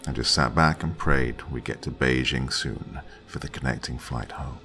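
Deep gravelly man narrating horror. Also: faint music behind. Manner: slow, dramatic delivery with hushed whispered lines.

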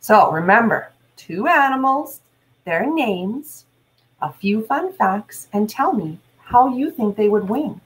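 Woman, forties, slow pace, deliberate teacher's delivery, instructional talk for children. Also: a little high, animated, rough recording. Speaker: teacher and read-aloud host. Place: small indoor room at home.

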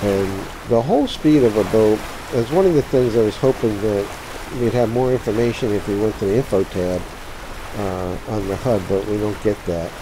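A man presenting an unscripted how-to commentary, halting as he thinks aloud. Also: on the low side. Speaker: tutorial presenter. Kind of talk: unscripted how-to commentary over a sailing game. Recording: steady sea and water noise behind. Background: sailing game sounds of boat and water.

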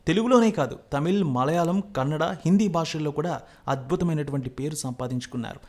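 A man's voice: a little high, darkish tone. A man presenting scripted news narration in a formal, emphatic delivery.

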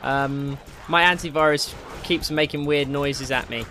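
A man giving casual, excitable and jokey let's play commentary as he hosts a gaming video. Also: on the high side, a little slow. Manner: excitable, jokey, mildly crude exclamations. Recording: game music behind the voice. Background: video game music.

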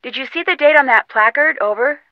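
A young American woman playing a plucky, determined detective in an adventure game, speaking earnestly and clearly. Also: high voice, fairly quick, no echo, dull audio. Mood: brisk, businesslike. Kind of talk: scripted game dialogue.

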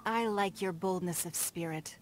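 An actress playing a stately, shrewd noblewoman in a video game, formal, measured and self-assured, with prominent S sounds. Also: lowish voice, close to an everyday voice. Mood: assured, composed. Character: poised, stately noblewoman and shrewd business magnate. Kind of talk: video game character dialogue. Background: background music.

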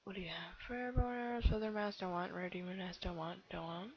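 Teen girl gamer, low husky voice, rambling commentary, muffled computer mic. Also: steady tone, fast. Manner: rambling, giggly, self-chattering with many fillers. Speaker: game video creator. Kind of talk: casual gameplay commentary.

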